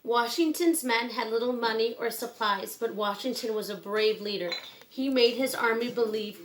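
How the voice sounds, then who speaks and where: Higher-voiced woman reading to children. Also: normal speed, a little hiss or hum, poor audio. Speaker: children's book reader. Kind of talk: read-aloud of a children's picture book.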